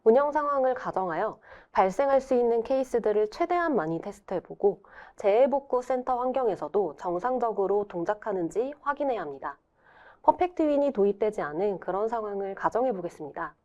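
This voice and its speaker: A woman with a mid-pitched voice presents as part of a webinar. She explains things formally and politely, and the recording sounds somewhat dull and band-limited. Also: animated, brisk, a little mellow. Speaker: presenter explaining a software product.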